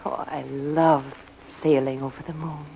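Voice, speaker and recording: A woman's voice: deep voice, level tone, measured pace, creaky. Dreamy young woman, noisy recording. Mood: dreamy, smitten. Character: smitten, dreamy young female client of a detective. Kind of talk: old-time radio romantic acting. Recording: very noisy muffled old radio recording.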